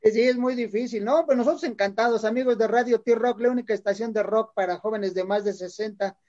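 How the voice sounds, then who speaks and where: A man's voice: high voice, brisk, darkish tone, lo-fi sound. A man hosting a radio show, rambling and repeating words as he talks. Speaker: radio host. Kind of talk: radio interview chat.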